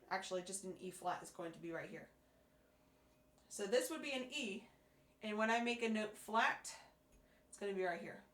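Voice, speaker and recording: A woman teaching a beginner percussion lesson, patiently explaining step by step while hoarse from losing her voice. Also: fairly high pitch, fairly bright tone. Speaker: music teacher. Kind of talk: instructional demonstration. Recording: echoey room.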